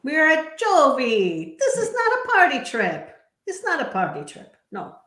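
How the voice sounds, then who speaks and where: A woman's voice: sits high, wildly swooping pitch, a little slow, fairly bright tone. Giggly, teasing woman in an echoey room. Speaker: co-host. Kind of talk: casual live-stream chat.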